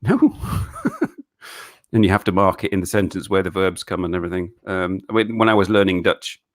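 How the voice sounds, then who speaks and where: A middle-aged man with a deep, low voice. He chats loosely on a live stream, reading out viewer questions and answering them in halting bursts, with stumbling restarts and frequent chuckles. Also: big pitch swings. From England.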